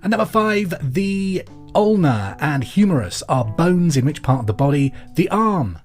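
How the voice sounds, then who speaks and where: A man's voice: fairly high pitch, sing-song, measured pace, faint music behind. A man with a British accent hosting a pub quiz podcast in an informal, chatty way with jokey asides.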